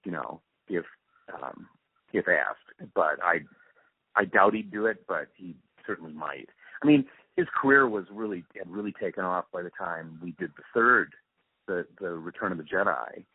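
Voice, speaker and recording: A man's voice: low-pitched, big pitch swings, normal speed, creaky, slightly rough, no room sound. An older-sounding man reminiscing and explaining his craft in a casual, conversational interview over the phone. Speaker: interview guest, radio drama producer. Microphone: phone call. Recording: tinny narrowband phone line.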